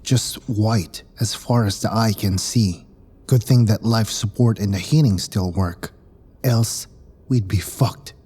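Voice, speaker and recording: A man acts out a stoic, plain-speaking crewman in a sci-fi audio drama. His voice is low and husky, and he takes his time with blunt words. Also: slow, warm tone. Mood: grim. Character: blunt, stoic male spacecraft crew member.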